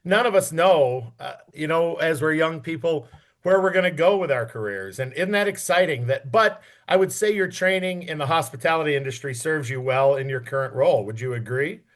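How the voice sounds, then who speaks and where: A man interviewing a guest on a podcast in a conversational, encouraging and deliberate way. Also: on the high side, animated.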